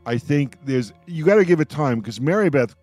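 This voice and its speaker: A man's voice: on the high side, lively intonation, normal speed, darkish tone, faint music behind. A man hosting a radio roundtable in casual chat, talking emphatically and often repeating his phrases. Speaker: radio host moderating a roundtable.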